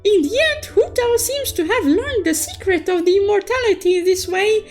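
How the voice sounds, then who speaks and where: Very high, playful cartoonish woman storyteller. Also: animated, moderate pace. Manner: playful, exclamatory, cutesy third-person persona. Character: childlike, excitable cartoon unicorn storyteller. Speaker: storyteller recapping a game story. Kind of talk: animated storytelling recap with sound-effect exclamations. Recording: music bed behind the voice. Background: background music.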